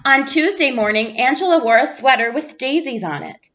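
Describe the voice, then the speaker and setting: A woman reading a children's picture book aloud like a teacher, with expressive storytelling emphasis. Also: sits high, normal speed, dull audio. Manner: animated character voices, clear storytelling emphasis.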